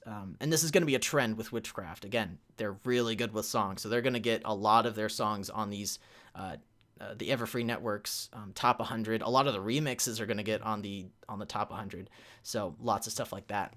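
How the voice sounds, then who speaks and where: A man's voice: lowish voice, moderate pace, fairly bright tone. A man giving an informal fan history presentation as a panel presenter, rambling hesitantly and often correcting himself.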